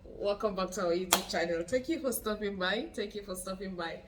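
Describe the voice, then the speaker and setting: A woman's voice: mid-range voice, fairly quick, distant mic, background noise. A Nigerian woman hosting a casual vlog, chatty and playful, saying most phrases twice. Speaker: YouTube channel host. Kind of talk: casual spiritual how-to vlog.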